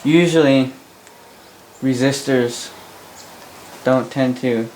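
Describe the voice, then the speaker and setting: A young adult man with a Canadian accent giving a casual, unscripted hobby demonstration in a halting, rambling way, often repeating words. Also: mid-range voice, a little mellow, rough recording. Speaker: vintage radio hobbyist and host. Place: indoor workbench in a room. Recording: home video with faint background noise.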